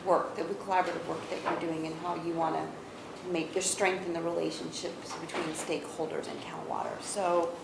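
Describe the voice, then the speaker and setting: American woman running a board meeting, halting and informal, echoey room w/ noise. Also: low-pitched, little pitch movement, gravelly. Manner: halting, checks with others as she talks. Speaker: board member chairing the meeting.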